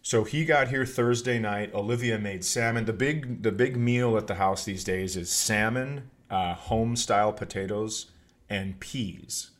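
A man's voice: fairly low pitch, unhurried. A middle-aged man and podcast host telling casual comic stories in a rambling, profane way.

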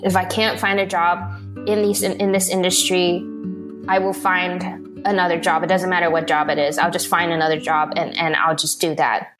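A woman's voice: lowish voice, little pitch movement, moderate pace, music in the background. A young woman from Hong Kong, a guest telling her story in a casual interview, rambling with many fillers and restarts. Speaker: interview guest, video producer.

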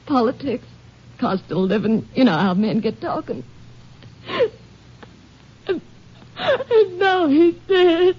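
A woman's voice: high-pitched, animated. An actress playing a grief-stricken sister and young mother, crying and faltering, in an old-time radio drama. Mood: tearful, halting. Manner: halting, faltering. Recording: muffled 1950s radio recording.

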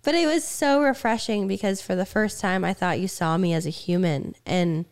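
A woman co-hosting a podcast, talking candidly and reflectively with frequent restarts, her voice slightly raspy. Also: on the low side, a little mellow. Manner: reflective, speaks in halting restarts. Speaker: podcast co-host. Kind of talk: candid conversation.

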